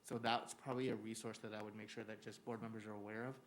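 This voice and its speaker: A man speaking to a school board at a quick clip, his fairly low voice holding a narrow, even line. He talks earnestly and steadily, with frequent "uh" fillers, like an administrator giving a formal report in a meeting room. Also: a little hiss or hum.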